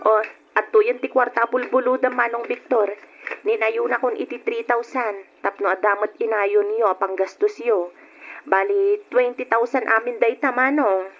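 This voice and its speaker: An actress playing a kind, generous married younger sister in an old radio drama, speaking gently and reassuringly. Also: female voice, fairly high pitch, steady tone, normal speed, soft background music, lightly acted. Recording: dull lo-fi old radio recording.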